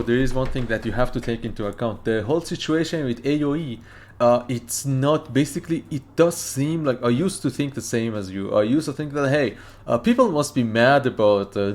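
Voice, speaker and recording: A man whose first language is Farsi, hosting a casual reaction video and arguing his point in a rambling, excitable way with many fillers. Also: medium pitch, fairly quick.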